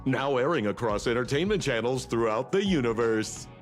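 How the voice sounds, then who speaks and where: An actor playing a flamboyant TV announcer, theatrically promoting a grand spectacle in a scripted game narration. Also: male voice, mid-range voice, expressive, moderate pace, soft background music, close to an everyday voice. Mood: grandiose.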